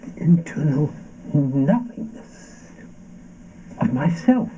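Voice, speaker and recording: A man's voice: on the high side, very animated, mellow. Man acting a wildly swooping, anguished philosopher. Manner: halting, theatrical, swooping declamation. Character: anguished philosophical man haunted by his own body. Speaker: stage actor. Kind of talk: avant-garde theatrical performance of a radio play. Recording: dull, muffled stage recording with noise.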